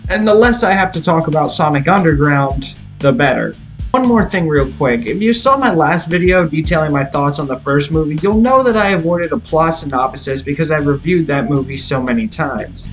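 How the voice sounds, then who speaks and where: A man reading a scripted movie review as a video reviewer, in a measured, emphatic, articulate way. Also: on the high side. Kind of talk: scripted film review narration. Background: rock guitar music.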